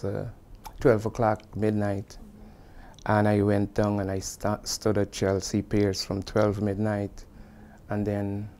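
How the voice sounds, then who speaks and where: A man's voice: fairly low pitch, gravelly, dark tone, slight background noise. A middle-aged man with a Guyanese accent recalling personal experience in an earnest, emphatic way, repeating phrases to drive them home. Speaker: construction supervisor giving testimony. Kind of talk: personal oral-history recollection.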